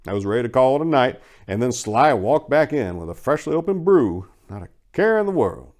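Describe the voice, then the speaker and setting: A man's voice: mid-range voice, big pitch swings, fairly quick, darkish tone. A man giving a dramatic first-person reading of a short story in a sardonic, exasperated, theatrical way.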